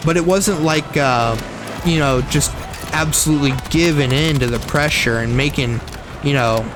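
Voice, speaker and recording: A man's voice: a little high, moderate pace, noisy background. Rambling young man talking over gameplay. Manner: rambling, repeats himself, self-correcting. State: slight frog in throat. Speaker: gaming streamer. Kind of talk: casual gameplay commentary.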